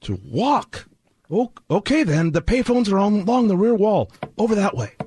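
A railway station attendant in an old-time radio play, with a high voice that swings up and down. He is formal, courteous and fussily concerned, pressing his point politely. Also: sing-song, normal speed, a little mellow, a little hiss or hum. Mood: hesitant. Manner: formal, polite service address. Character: courteous, fussy male train station attendant, concerned and persistent.

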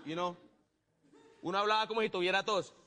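A man with a Colombian accent telling comic stories in a playful, animated way, acting out bits of dialogue as he goes. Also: sits high, a little fast. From Neiva, Colombia. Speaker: comedian and guest. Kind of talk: comic storytelling, stand-up and banter.

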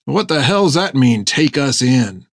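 A man voicing a cocky, easygoing rogue sailor in an audiobook, with brash, rough talk. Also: medium pitch, very animated, measured pace, no room sound, clean studio sound, heavily acted. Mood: amused. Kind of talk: audiobook character dialogue.

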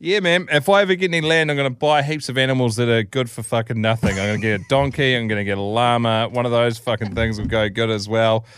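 A man's voice: medium pitch, fairly even intonation, fast talker, few pauses, a bit bright, clean tone, no echo, clean studio sound. A New man co-hosting a podcast, laid-back and jokey, swearing casually. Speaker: podcast co-host. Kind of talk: casual chat.

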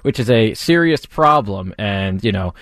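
A man co-hosting a talk radio show, chiming in with quick agreement and casual comments. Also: on the low side, lively intonation, fairly bright tone, no room sound, clean studio sound. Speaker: radio co-host. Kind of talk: casual studio banter. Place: radio studio.

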